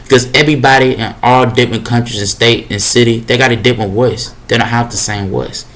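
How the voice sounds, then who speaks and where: A young man with a deep voice giving a rambling motivational monologue, casual and repetitive with slang fillers. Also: steady tone, brisk, a bit bright.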